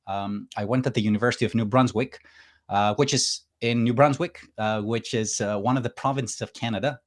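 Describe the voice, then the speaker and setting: A man from Greece speaking as a guest in a podcast interview. He talks at a relaxed pace, hesitating often with "uh" and the odd soft laugh. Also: mid-range voice. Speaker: guest engineer. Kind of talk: casual interview chat.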